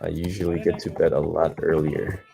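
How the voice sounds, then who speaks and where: Sleepy, very deep-voiced man. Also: little pitch movement, brisk, gravelly, crowd noise. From United States. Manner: soft-spoken, hesitant, many fillers. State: sleepy, low energy. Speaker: livestream host. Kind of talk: casual livestream chat reading comments.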